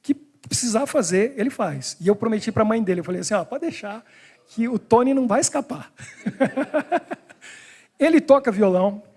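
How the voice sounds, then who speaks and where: A man's voice: high-pitched, animated, moderate pace, long pauses, a little mellow, breathy edge. A man preaching a sermon as pastor, talking to his congregation in a conversational way. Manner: conversational, talks to the congregation directly. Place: church hall in Brussels.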